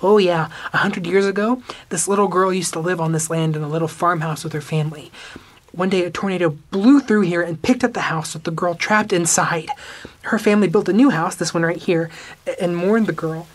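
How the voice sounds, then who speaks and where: A woman's voice: lowish voice, fairly quick, natural voice. A woman playing an eager, helpful schoolboy in a modern audio drama, talking in an earnest, colloquial way. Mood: spooky, conspiratorial.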